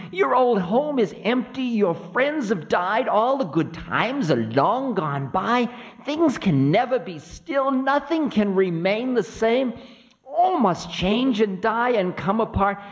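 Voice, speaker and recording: A man voicing Kala as a cruel, indignant spirit of Time, shrill, menacing and taunting, in dramatic mythic storytelling. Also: dull audio, full character voice. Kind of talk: dramatic oral storytelling of myth.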